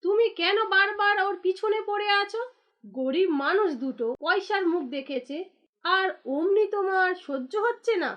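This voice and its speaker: An extremely high-pitched village wife from a cartoon, acted by a woman. She scolds righteously, nagging with sarcasm and a sharp tongue. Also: animated, moderate pace, dull audio. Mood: scolding, reproachful. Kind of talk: cartoon dialogue acting.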